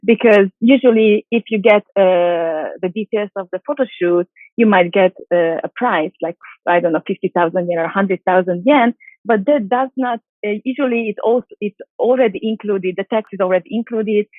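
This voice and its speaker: A woman's voice: mid-range voice, normal speed, no room sound. Hungarian-native woman over a tinny call line. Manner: chatty, many fillers and restarts, light laughs. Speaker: interview guest, model and actress. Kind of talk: casual interview answers. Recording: dry tinny phone-like call audio.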